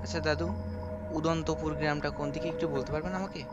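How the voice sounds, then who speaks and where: Man playing a courteous city youth over loud music. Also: a little high, little pitch movement, fast talker, natural voice. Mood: polite, confident. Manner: courteous, earnest. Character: polite city-bred young man, a visiting brother-in-law. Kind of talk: animated folk-tale voice acting. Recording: muffled audio under loud music.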